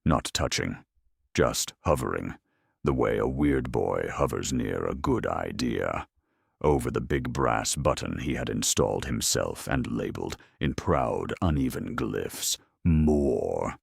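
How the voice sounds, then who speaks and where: A man reading a scripted dramatic story in a measured, deadpan manner with dry humour. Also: very deep voice, creaky, breathy edge, no room sound. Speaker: narrator.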